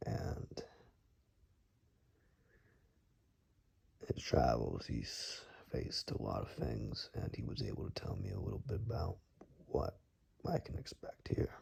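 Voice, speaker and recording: A man acting as a gruff, protective woodsman in an ASMR roleplay, with an extremely low, gravelly voice. He murmurs frankly and cautiously, often slipping into a husky, very breathy whisper. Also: very animated, moderate pace, darkish tone, heavily acted. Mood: calm, reflective.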